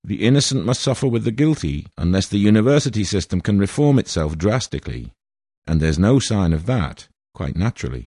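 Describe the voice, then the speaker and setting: A man's voice: lowish voice, very animated, fairly quick, a little mellow, breathy edge, no room sound, studio quality. Aggrieved formal older Welshman. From Wales. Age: 60s. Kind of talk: prepared statement read aloud.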